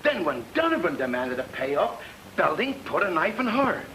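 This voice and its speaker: An actor playing an excitable comic sidekick in an old-time radio mystery, theorizing dramatically. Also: male voice, high voice, moderate pace, slight background noise, exaggerated voice, poor audio. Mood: excited.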